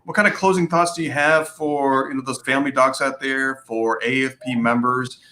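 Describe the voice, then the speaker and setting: Male interview host, bright mid-pitched voice, informal and meandering, conversational delivery. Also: steady tone, moderate pace. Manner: informal, meandering, peppered with "you know" and "kind of". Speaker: moderator, host and family physician.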